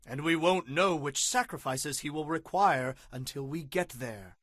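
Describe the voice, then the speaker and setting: An actor playing a resolute, righteous prophet in a dramatized Bible reading, speaking with solemn, defiant declamation. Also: male voice, on the high side, measured pace, fairly bright tone, no room sound, clean studio sound. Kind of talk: dramatized Bible audio acting.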